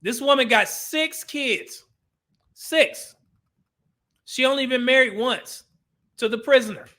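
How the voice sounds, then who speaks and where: A man's voice: extremely high pitch, takes it slowly, pushed and bright. A male commentary host ranting over clips, blunt and profane, with a preachy edge.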